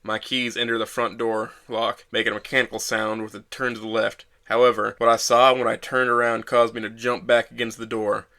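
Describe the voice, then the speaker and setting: Man acting a bitter young man, flat and forceful. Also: medium pitch. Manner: brooding, bitter, swearing. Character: bitter self-loathing young man, traumatized shooting survivor. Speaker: narrator and lead actor. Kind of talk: first-person audio drama narration.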